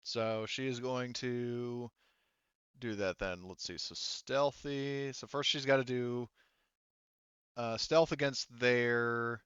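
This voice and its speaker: Clear-voiced man running a tabletop game. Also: medium pitch, steady tone, slow pace, fairly bright tone, dry recording, highs cut off. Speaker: game master.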